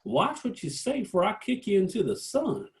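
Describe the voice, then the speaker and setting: A man's voice: high-pitched, sing-song, moderate pace, creaky, heavily acted. A man acting a proud, touchy cowboy balladeer in a fantasy western table read. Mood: indignant.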